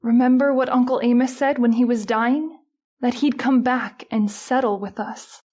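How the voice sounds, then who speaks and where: An anxious, easily frightened woman played by an actress with a high voice. She speaks in the dramatic manner of an old-time radio horror mystery. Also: fairly even intonation, normal speed, darkish tone, close to an everyday voice. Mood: shaken, wondering.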